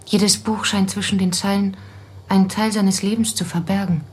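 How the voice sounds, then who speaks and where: A woman playing a thoughtful researcher in a radio play, speaking in a reflective, measured and breathy voice. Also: medium pitch, steady tone. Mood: absorbed, thoughtful. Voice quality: very husky, breathy. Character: absorbed, thoughtful woman researching a mysterious author.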